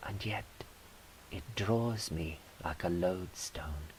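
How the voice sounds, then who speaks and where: A man reading poetry in a measured, deliberate, wry way, as a poet performing his own work. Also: low-pitched, rough recording. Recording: faint background hiss.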